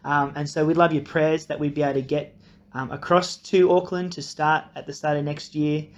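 A man's voice: fairly high pitch, flat delivery, normal speed. A man, a new pastor, introducing himself in a friendly, earnest way with many ums. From Australia. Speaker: incoming pastor. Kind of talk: casual video introduction.

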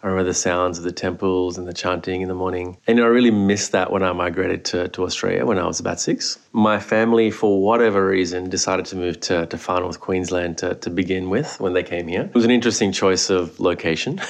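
A man's voice: low-pitched, fairly even intonation, brisk, hardly any pauses. A man from Sri Lanka, a meditation teacher, talking thoughtfully as a podcast guest and often repeating words as he goes. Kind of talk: relaxed podcast conversation.

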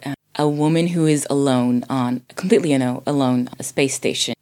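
Woman podcast guest, really low voice, chatty, explains stuff and repeats bits to stress them. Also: a little mellow. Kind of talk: casual recommendation chat.

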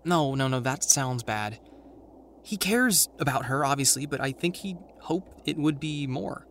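An actor playing an anxious, awkward teenage boy in an audio drama, with a halting, dry, naturalistic delivery. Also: medium pitch, expressive, a bit bright, a little hiss or hum. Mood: wry, reflective. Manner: halting, dry, self-conscious.

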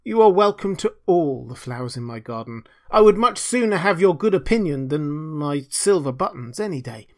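A man's voice: on the high side, lively intonation, normal speed, hardly any pauses, darkish tone, close to an everyday voice. A man voicing a humble, naive little gardener in a storybook, eager to please and hesitant, with small stammering starts. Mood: devoted. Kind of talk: storybook character reading.

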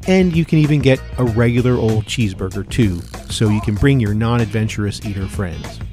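A man's voice: fairly low pitch, lively intonation, brisk. Host: mid-range man, upbeat scripted restaurant promos over audible music. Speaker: host of a local food show. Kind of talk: scripted food-segment narration and interview.

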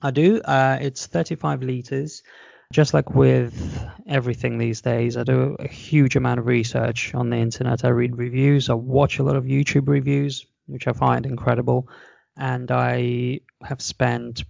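A man's voice: medium pitch, level tone, moderate pace, vocal fry, darkish tone, no echo. A man from Russia, a podcast guest and travel blogger, chatting enthusiastically over a video call and explaining things at length. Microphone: video call microphone. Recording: dull, lo-fi call audio.

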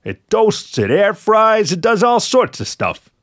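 A man's voice: a little high, very animated, unhurried, a bit bright, pitched above the performer's own voice, exaggerated voice. A man voicing a cheeky, flirty male fox who gets defensive and stammers playfully in an audio story. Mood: eager. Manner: stammering, hesitant when embarrassed, playful.

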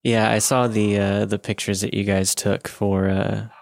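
Chatty, low-voiced man swapping casual stories. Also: flat delivery, a little slow, gravelly. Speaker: podcast co-host. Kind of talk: casual banter and personal anecdotes.

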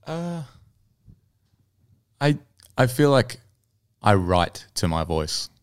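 A man's voice: medium pitch, very animated, extremely slow, clean tone. A man speaking as an interview guest, a singer-songwriter telling anecdotes in an easygoing, conversational way. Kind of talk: casual interview chat, anecdotes.

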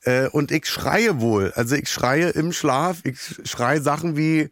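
A hoarse man, hosting a podcast in a rambling, self-mocking comic monologue full of halting fillers. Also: a little high, a bit bright, no room sound, studio quality. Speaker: podcast host.

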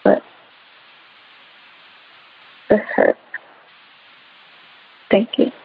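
A woman giving a hesitant, subdued personal testimony. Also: extremely slow. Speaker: testimony speaker. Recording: tinny phone-like recording.

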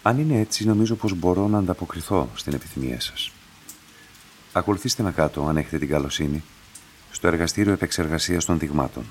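Low, formal man over rain, acted. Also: slight background noise, close to an everyday voice. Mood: calm, formal. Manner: formal, measured. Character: courteous, formal, enigmatic male specimen lab director. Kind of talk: radio drama acting.

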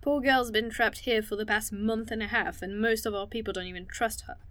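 A woman's voice: medium pitch, steady tone, a little fast, fairly bright tone, no room sound, close to an everyday voice. An actress playing a caring but torn woman conspirator in a naturalistic audio drama. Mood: uneasy, reasoning.

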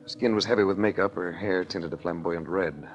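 Low-voiced, wry American radio detective, played by a man. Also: a little fast, highs cut off, slight background noise, natural voice. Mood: dry narrating. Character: suave, wry, hard-boiled male insurance investigator. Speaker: lead actor and narrator of a detective series. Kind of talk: 1940s radio detective acting and narration. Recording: vintage 1940s radio recording.

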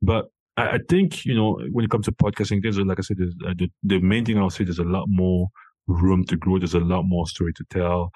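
A man from Cameroon speaks as a guest in a relaxed interview. His voice sits very low and moves little in pitch, and the recording is dry and close. He often backs up and restarts his sentences. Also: brisk, no room sound. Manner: hesitant, many restarts and fillers. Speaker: founder and CEO, guest. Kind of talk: casual interview talk.

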